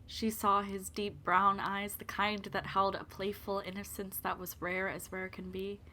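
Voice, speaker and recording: A woman's voice: mid-range voice, a bit bright. A young woman giving scripted narration for an audio drama about characters, as the storyteller. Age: young adult.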